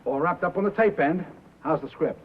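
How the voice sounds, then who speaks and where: An actor playing a cynical, pragmatic radio colleague in a 1950s film drama, matter-of-fact and wisecracking. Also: male voice, on the high side, brisk, slight background noise, close to an everyday voice. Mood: offhand. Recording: dull old film soundtrack.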